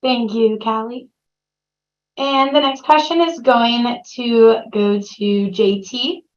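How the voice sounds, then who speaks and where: A woman's voice: on the high side, steady tone, slow, muffled recording. A woman moderating a webinar Q&A, upbeat as she reads out questions and hands them to the panel. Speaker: session moderator.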